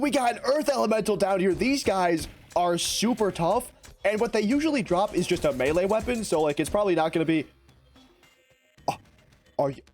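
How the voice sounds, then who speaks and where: A young man with a raspy, hoarse voice, giving excitable, slangy gameplay commentary as a YouTuber. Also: high-pitched. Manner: excitable, slangy, fast-talking, rambling. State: hoarse from lost voice. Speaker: gaming YouTuber.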